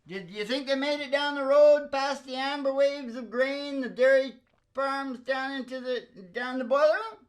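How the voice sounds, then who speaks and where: A man's voice: very high voice, moderate pace. A man hosting an old-time style radio show in a folksy, jokey, exclamatory way.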